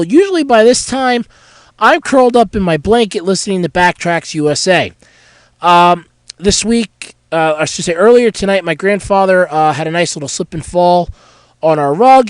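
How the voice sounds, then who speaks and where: A young man hosting a casual solo podcast while sick with a cold, hoarse and stuffy, rambling candidly about his week. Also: high voice, dry recording. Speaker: podcast host.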